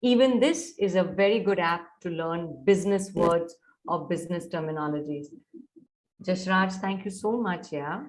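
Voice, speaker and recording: Coaxing Indian English trainer on a video call. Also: female voice, fairly low pitch, normal speed. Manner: coaxing, mixes Hindi into English, checks on students often. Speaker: soft skills trainer. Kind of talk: online workshop lecture.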